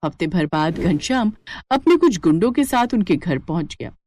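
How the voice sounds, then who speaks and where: A woman's voice: lowish voice, sing-song, moderate pace, a little mellow. A woman narrating a scripted moral story as the storyteller.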